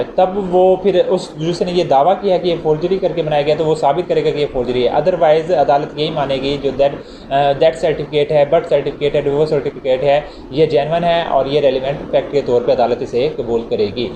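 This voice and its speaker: Man, Pakistani accent, didactic lecturer, slightly high pitch, relaxed mellow tone, repetitive explaining, echoey room recording. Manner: didactic, repeats phrases to explain. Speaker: law lecturer. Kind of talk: explanatory lecture with examples, mixing Urdu and English. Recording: echoey room with faint background noise.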